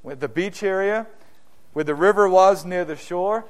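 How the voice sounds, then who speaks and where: New Zealand man preaching a sermon. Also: moderate pace. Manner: swells loud and high on repeated phrases, drops low for warnings.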